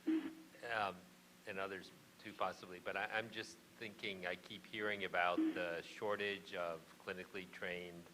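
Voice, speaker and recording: A man on a panel asking a long question, hesitant and halting with many fillers. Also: low voice, flat delivery, bright, forceful tone, a little hiss or hum, poor audio.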